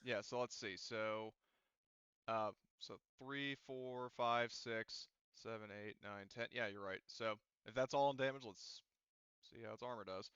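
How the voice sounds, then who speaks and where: A man's voice: mid-range voice, fairly even intonation, a little slow, fairly bright tone, clean tone, no room sound. A man talking casually as game master of an online tabletop game, often thinking aloud as he goes. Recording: dry, slightly dull audio.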